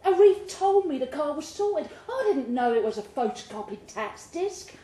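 A woman's voice: high voice, sing-song, normal speed, dark tone, pitched above the performer's own voice. A woman voicing an earnest, anxious male London ex-convict in a live story reading, talking colloquially. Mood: pleading, dejected. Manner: colloquial, streetwise. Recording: roomy live pub recording, faint background noise.

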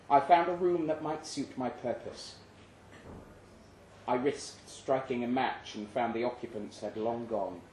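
A man reading literary fiction aloud at a live event, measured and suspenseful, with deliberate pauses. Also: mid-range voice, a little mellow. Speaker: story reader. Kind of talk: dramatic literary narration, read aloud live. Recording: roomy live venue, faint background noise.

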